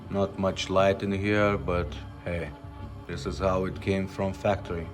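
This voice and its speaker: Low-pitched man demonstrating gear casually. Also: flat delivery, measured pace, over music. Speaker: presenter demonstrating restored car radios.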